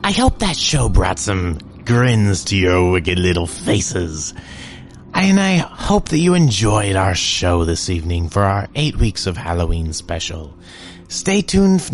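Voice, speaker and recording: Slow-talking, playful podcast host man. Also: low voice. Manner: playful, spooky-friendly. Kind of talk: casual podcast outro.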